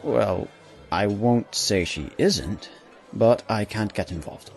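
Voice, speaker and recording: A man playing a cautious, loyal stallion ambassador in an audio drama, measured and wary. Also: on the low side, creaky, darkish tone, faint music behind.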